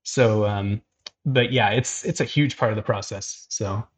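A man's voice: fairly low pitch, measured pace, breathy edge. A man hosting an art livestream, talking casually with many fillers and restarts. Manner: casual, filler-heavy, frequent "like" and "um". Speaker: host. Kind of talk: livestream chat.

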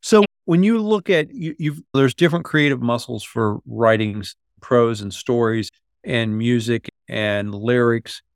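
A man with a medium-pitched voice asks questions casually as a podcast interviewer. He sounds curious and often restarts or rewords as he goes. The recording is dry, with hardly any room sound. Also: creaky, no room sound. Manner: curious, halting, rephrases questions mid-sentence.

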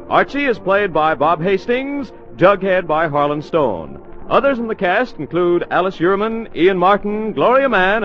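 A man's voice: high-pitched, lo-fi sound. An American man reading a script as a 1940s radio announcer, formal and carefully enunciated, on an old broadcast recording.

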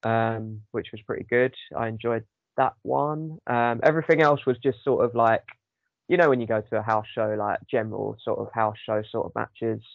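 A man's voice: medium pitch, fairly even intonation, moderate pace, vocal fry, no echo, narrow, tinny audio. A man hosting a casual chat podcast with a sore throat, rambling with many ums. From United Kingdom. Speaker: podcast host.

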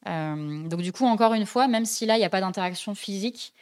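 A woman's voice: mid-range voice, a little fast, a little mellow. A young woman hosting a casual podcast, talking chattily with many hesitations and fillers. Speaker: podcast host.

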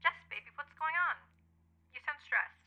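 A woman's voice: high voice, expressive, normal speed, faint music behind. A woman playing an affectionate, teasing middle-aged mother in a radio play, heard through a telephone filter. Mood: warm, teasing. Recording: thin, sharp telephone call sound.

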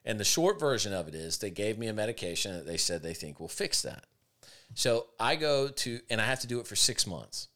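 A man with a raspy voice, hosting a podcast with opinionated, emphatic casual talk. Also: lowish voice, a bit bright. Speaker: podcast host.

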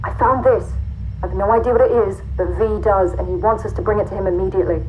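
A woman playing a brisk, businesslike guard in a sci-fi audio drama, speaking in a clipped manner. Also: medium pitch, darkish tone, natural voice. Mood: brisk, urgent. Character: brisk, businesslike female guard reporting to her boss. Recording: narrow phone-like band, faint noise.

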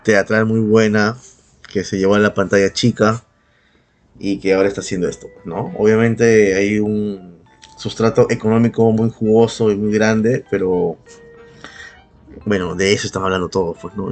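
Irreverent man, lower-pitched, music behind. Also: fairly even intonation, moderate pace. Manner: irreverent, profane. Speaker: podcast host. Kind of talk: casual, humorous chat.

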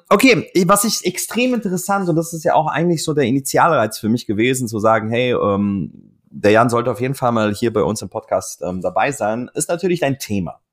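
A man hosting a fitness industry podcast in a loose, chatty way, cracking jokes and using lots of fillers. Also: mid-range voice. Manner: chatty, joking, lively, frequent fillers. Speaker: podcast host. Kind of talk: casual interview hosting.

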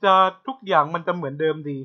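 A man's voice: on the high side, fast talker. Slightly muffled male podcast co-host. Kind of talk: casual chat.

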